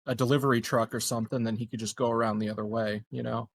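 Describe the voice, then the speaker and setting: A man playing a tabletop game, casually thinking aloud in a halting way. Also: mid-range voice, level tone, creaky. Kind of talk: casual planning discussion.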